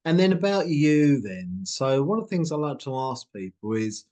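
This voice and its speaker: A man's voice: a little high, big pitch swings, normal speed, a little mellow. A man hosting a casual interview, asking upbeat, rambling questions with frequent restarts. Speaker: host.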